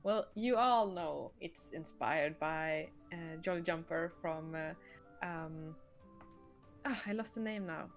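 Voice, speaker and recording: A woman's voice: sits low, slow. A woman chatting casually as a livestream host, answering questions and hesitantly searching for words. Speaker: stream host and game creator. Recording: music playing behind the voice. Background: music.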